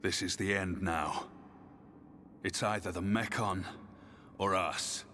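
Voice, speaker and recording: An actor playing an earnest, burdened young soldier hero in a video game. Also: male voice, low-pitched, fairly even intonation, slow pace, bright, forceful tone, slight background noise, heavily acted. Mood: bitter, defiant. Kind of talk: dramatic video game acting. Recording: long reverb, faint music behind.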